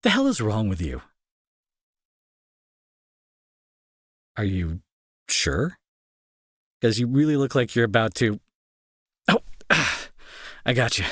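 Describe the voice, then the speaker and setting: An irritable young male bully, acted by a man, speaks in a slow, dramatic delivery that swings widely in pitch. He is sarcastic and scolding with worry underneath, in a dry, close audio-drama recording. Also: sing-song, a bit bright, slightly rough, dry recording, full character voice. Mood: alarmed, concerned. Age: young adult. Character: irritable young male bully, gruff but secretly worried. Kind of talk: intimate roleplay audio drama acting.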